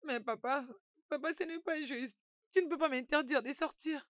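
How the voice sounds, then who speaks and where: A woman's voice: sits high, moderate pace, fairly bright tone, no room sound, clean studio sound. A young woman playing a headstrong daughter who pleads for her freedom, protesting and plaintive, in a dubbed melodrama. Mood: pleading. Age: young adult.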